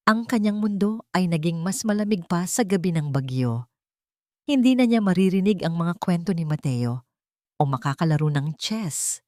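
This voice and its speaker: A woman tells a dramatic story in a solemn, emotive manner. Her voice sits a little low, and the recording is very dry, with hardly any room around it. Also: a little mellow, no echo. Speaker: narrator. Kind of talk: dramatic scripted story narration, audio drama.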